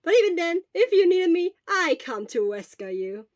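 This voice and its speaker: Woman playing a loud, cheery teenage boy. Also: very high-pitched, very animated, normal speed, no echo, studio quality, pitched above the performer's own voice. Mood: earnest, boastful. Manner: exuberant, earnest, emphatic.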